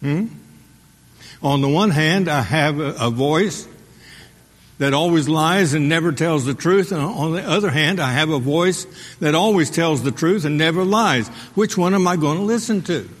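A man preaching a sermon as pastor, asking the congregation rhetorical questions and pausing for their response. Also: a little high. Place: church sanctuary. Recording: long hall reverb.

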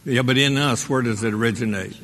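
A man preaching a sermon as pastor, asking the congregation rhetorical questions and pausing for their response. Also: mid-range voice, a bit bright, a little hiss or hum. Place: church sanctuary. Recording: long hall reverb.

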